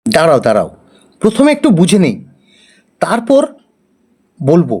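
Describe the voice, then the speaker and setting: A man playing a poor, simple, honest village farmer in an animated fairy tale, with a plaintive, earnest way of exclaiming. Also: on the high side, normal speed, slightly rough, heavily acted. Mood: eager.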